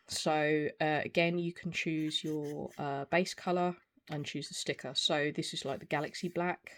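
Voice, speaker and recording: A person in their forties giving a casual, unscripted product review in a hesitant, rambling way. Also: medium pitch. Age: 40s. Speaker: video reviewer. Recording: clear background noise, small clicks.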